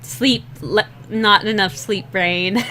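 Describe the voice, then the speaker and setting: A young woman with a slightly rough, scratchy voice, rambling and laughing at herself in a casual chat while drawing, short on sleep. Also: medium pitch, slow, bright, forceful tone. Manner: rambling, giggly, self-deprecating. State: sleep-deprived. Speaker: digital artist streaming a drawing session.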